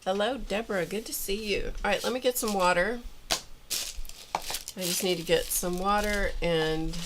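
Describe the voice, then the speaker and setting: A woman's voice: medium pitch, measured pace, background noise. A woman hosting a casual art livestream, a little congested and chatting aloud as she works in her studio. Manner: chatty, thinking aloud while working. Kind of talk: casual craft livestream chat.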